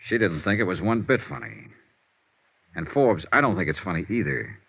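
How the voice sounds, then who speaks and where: An American actor, sounding middle-aged, playing a tough but fair insurance investigator in vintage radio detective style, clipped and matter-of-fact. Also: male voice, sits low, sing-song, normal speed, gravelly, slightly rough, heavily acted. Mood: cool, stern. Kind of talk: 1940s-50s radio detective acting and narration. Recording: muffled vintage radio recording, background music.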